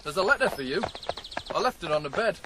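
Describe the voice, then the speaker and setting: A man's voice: on the high side, expressive, fast, noisy background, lightly acted. A male actor playing a genial, chummy farmhand who taught a boy his trade, in a radio drama. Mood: chummy, teasing. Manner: chummy, bantering. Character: genial, teasing farmhand, the boy's teacher.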